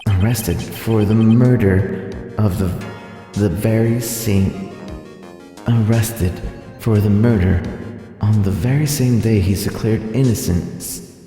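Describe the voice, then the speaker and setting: A man's voice: lowish voice, slow pace, pauses often, warm tone, very echoey, big-room sound, faint music behind. A man streaming a game and reading its dialogue aloud dramatically. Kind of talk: live dramatic read-aloud of game text.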